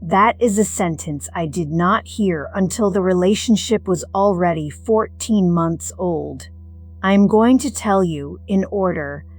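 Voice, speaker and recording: A woman playing a middle-aged retired firefighter, narrating a scripted first-person story in a measured, reflective, wry way. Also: lowish voice, a little mellow. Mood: confiding, grave.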